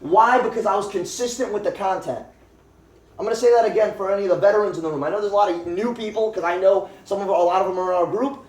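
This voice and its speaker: A young man in his twenties, high-voiced, delivers a joking, repetitive motivational pitch to a crowded living room. He is picked up from across the room, so his voice sounds distant and roomy. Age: 20s. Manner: joking, fast and repetitive pep talk. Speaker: network marketing presenter. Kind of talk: motivational talk to a home meeting. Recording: echoey room, voice from far off.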